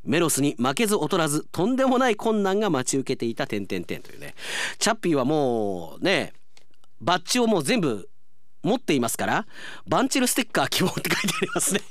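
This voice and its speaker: A man's voice: a little high, very animated, fairly quick, dry recording, clean studio sound. A man hosting a radio segment, chatting and reading out listener mail as an announcer.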